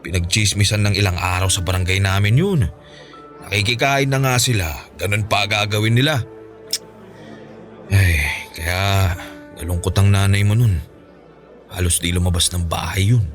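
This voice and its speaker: Man to play kindly Filipino father, radio drama; deep voice, gentle, sorrowful, patient explaining. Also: moderate pace, pauses often, faint music behind, lightly acted. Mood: wistful, gentle.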